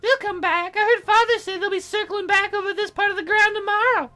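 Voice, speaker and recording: A woman voicing a proud, earnest young cloud fairy girl in a high, girlish voice for a game. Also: steady tone, brisk, bright, forceful tone, faint music behind. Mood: earnest, matter-of-fact.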